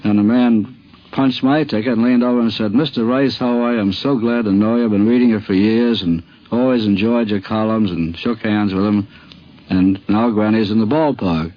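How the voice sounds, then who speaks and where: A man's voice: fairly low pitch, steady tone, normal speed. A man with an American accent, a sports columnist telling an anecdote from memory. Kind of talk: anecdotal reminiscence. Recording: muffled vintage radio recording.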